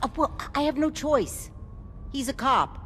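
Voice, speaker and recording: A woman's voice: expressive, a little slow, a bit bright. A woman playing a nosy, chiding but indulgent older woman in a video game. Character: scolding but indulgent older woman, stern motherly busybody. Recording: echoey hall with faint rumbling noise.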